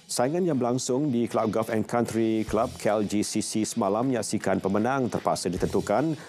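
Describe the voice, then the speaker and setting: A man's voice: on the low side, normal speed, a little mellow. A man with a Malaysian accent reading scripted sports news as a presenter. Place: television studio. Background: music.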